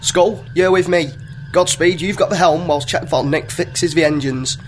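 Man playing a highish, brash starship captain. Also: moderate pace, soft background music, lightly acted. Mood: bossy. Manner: bossy, flippant. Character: brash, sarcastic male spaceship captain. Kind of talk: comedy sci-fi radio play acting.

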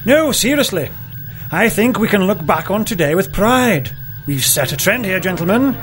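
Man playing a high-voiced earnest starship officer. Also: lively intonation, normal speed, a bit bright, faint music behind. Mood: earnest, proud. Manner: earnest, speechifying. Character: prim, idealistic, long-winded male starship officer. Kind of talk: comedy radio play acting.

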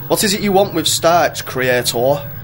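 Man playing a highish, brash starship captain. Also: expressive, a little slow, faint music behind. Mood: commanding. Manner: bossy, flippant. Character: brash, sarcastic male spaceship captain. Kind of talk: comedy sci-fi radio play acting.

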